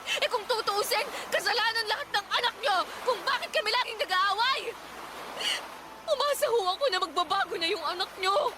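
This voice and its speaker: A woman's voice: extremely high pitch, animated, brisk, heavy background noise. An actress playing a fed-up, wronged young wife and mother, fiery and defiant, in a Tagalog radio drama. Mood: hurt, angry. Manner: emotional, confrontational delivery.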